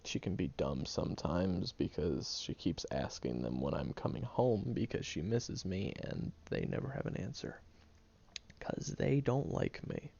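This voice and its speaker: A man's voice: deep voice, lively intonation, a little slow, vocal fry, mellow, exaggerated voice. A man voicing a prickly young male student who secretly cares, talking hesitantly with stammers and ums in an audio roleplay. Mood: bitter. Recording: dull lo-fi recording.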